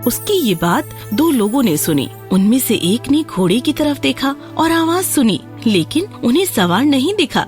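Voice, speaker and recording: Female children's fairy tale narrator, higher register, very expressive intonation, music underneath. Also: hardly any pauses. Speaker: storyteller. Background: background music.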